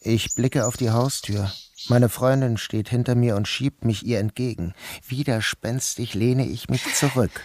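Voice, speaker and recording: A man narrates a story as its first-person protagonist. His voice sits at a middling pitch. There is faint noise in the recording. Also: a little slow, darkish tone. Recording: noticeable background noise.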